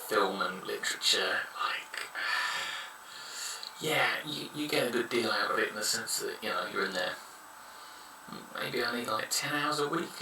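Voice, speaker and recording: A man's voice: fairly low pitch, creaky, sharp tone, a little hiss or hum. A man in his thirties giving frank, rambling advice in a casual monologue. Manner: frank, rambling, blunt opinions. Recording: echoey room, somewhat far from mic.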